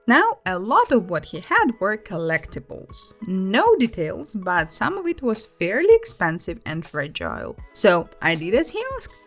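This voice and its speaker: Animated woman storyteller over background music. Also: medium pitch, measured pace, few pauses. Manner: animated, emphatic, conversational storytelling. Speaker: host reading online stories aloud. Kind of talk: expressive scripted story narration.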